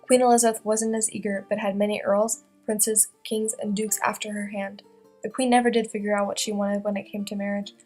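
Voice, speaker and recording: Casting: young woman, newsreel-style reporter, fast even read with little pitch movement, guitar music underneath. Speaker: student presenter playing a news reporter. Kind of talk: scripted newsreel narration.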